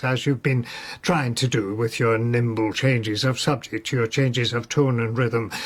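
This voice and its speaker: A man's voice: medium pitch, breathy edge. A wry older nobleman. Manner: musing, playful, gently ironic. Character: older nobleman, wry, whimsical, evasive husband. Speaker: suspect in a radio play. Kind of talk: British radio drama acting.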